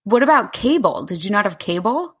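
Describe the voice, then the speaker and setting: A woman's voice: mid-range voice, big pitch swings, brisk, husky. A woman hosting a casual podcast, asking curious, enthusiastic questions. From United States. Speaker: podcast host.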